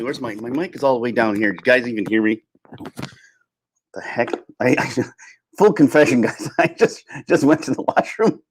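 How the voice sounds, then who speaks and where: A man hosting a solo livestream in casual chat, rambling and halting as he thinks aloud. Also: mid-range voice, darkish tone. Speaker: livestream host.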